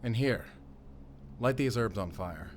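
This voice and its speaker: An actor playing a stern, secretive werewolf hunter with a German accent, giving terse, grave orders in a cinematic audio drama. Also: male voice, on the low side, lively intonation, measured pace, a little hiss or hum. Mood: grave, hushed, wary.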